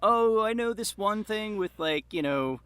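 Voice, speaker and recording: A man chatting casually as a podcast guest, rambling and haltingly repeating his words as he goes. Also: sits high, expressive, sharp tone, clear, resonant tone, no room sound. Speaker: guest, audio drama creator.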